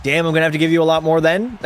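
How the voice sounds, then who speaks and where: A male streamer giving casual play-by-play of a hockey game and answering his chat in a relaxed way. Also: fairly high pitch. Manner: relaxed, drops into terse hockey call mode.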